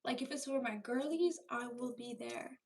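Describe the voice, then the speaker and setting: A woman in her twenties hosting a casual livestream chat with viewers, animated and talkative, often repeating herself for emphasis. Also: on the high side, normal speed, creaky, a little hiss or hum. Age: 20s. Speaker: livestream host chatting with viewers.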